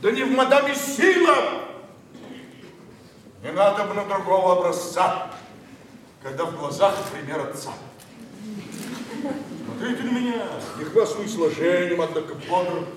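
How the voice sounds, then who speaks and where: A man's voice: sits high, slight background noise. An actor playing a pompous, grumbling middle-aged nobleman father in classical verse drama, declaiming in a blustering way. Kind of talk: classical verse stage acting. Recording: very echoey, distant, music behind.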